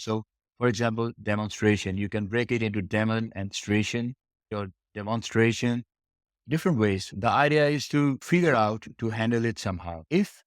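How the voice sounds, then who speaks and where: A man's voice: medium pitch, no echo, clean studio sound. A man teaching a test preparation lesson, explaining step by step and repeating phrases for emphasis. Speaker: English test preparation instructor.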